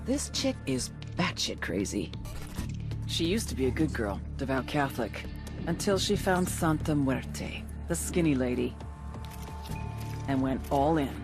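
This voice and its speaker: An actress playing a tough, ruthless intelligence agent in a game, wry, sarcastic and blunt. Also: female voice, very deep voice, sing-song, a little slow, music in the background, exaggerated voice. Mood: sardonic. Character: sardonic, tough female intelligence agent, foul-mouthed and ruthless. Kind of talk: scripted briefing narration.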